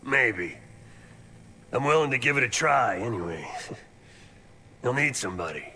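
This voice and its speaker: An actor playing a gruff, weary veteran soldier in a video game, blunt and casual, loyal underneath. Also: male voice, medium pitch, lively intonation, measured pace, a little hiss or hum. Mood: gruff, reflective. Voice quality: gravelly, forceful. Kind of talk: naturalistic video game acting.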